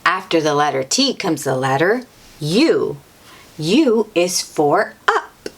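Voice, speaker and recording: Woman reading slowly to young children, lively pitch. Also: husky. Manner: teacherly, repeats and emphasizes key words, exclaims and asks the child questions. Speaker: children's storybook read-aloud host. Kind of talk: read-aloud picture book for young children with asides to the listener.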